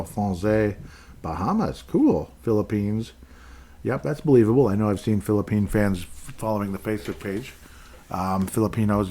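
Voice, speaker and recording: A man's voice: fairly low pitch, warm tone. Rambling, friendly male podcast host. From Minnesota. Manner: rambling, friendly, thanks listeners by name. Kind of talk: casual solo podcast chat.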